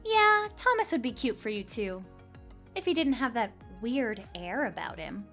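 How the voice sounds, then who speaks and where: A woman's voice: on the high side, very animated, moderate pace, a bit bright, faint music behind. A woman playing a chatty, nosy and teasing young best friend in an audio drama.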